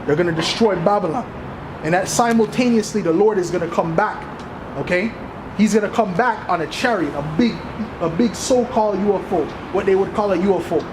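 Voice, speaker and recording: High-voiced man preaching amid loud noise. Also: fairly quick. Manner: insistent, repeatedly seeking agreement with tags like right and okay. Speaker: street preacher. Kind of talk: informal street preaching and scripture explanation. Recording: echoey, very noisy outdoor street recording.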